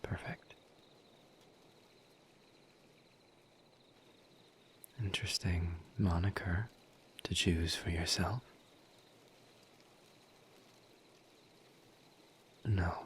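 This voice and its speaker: A man voicing a calm, formal misery demon in an audio roleplay, speaking softly in a breathy near-whisper with measured pauses. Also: sits low, slight background noise. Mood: polite, curious. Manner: measured, halting, formal diction with long pauses. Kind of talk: audio roleplay, intimate scripted monologue.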